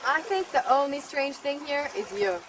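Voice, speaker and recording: High, dismissive young woman, acted by a woman. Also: animated, normal speed, background noise. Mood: scornful. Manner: blunt, dismissive. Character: stubborn, scornful young female sceptic. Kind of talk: scripted drama for English learners.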